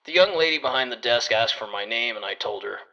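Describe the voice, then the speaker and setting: A man playing a private detective who narrates his case in a measured, matter-of-fact way. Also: mid-range voice, expressive, gravelly, bright, forceful tone, highs cut off. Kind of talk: first-person detective story narration.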